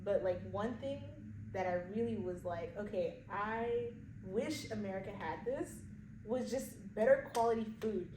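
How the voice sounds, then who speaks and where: A young woman in a relaxed casual chat, talking in loose phrases full of "like". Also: medium pitch, measured pace. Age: young adult. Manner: relaxed, talks in loose run-on phrases with many "like"s. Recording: echoey room, distant mic, clear background noise.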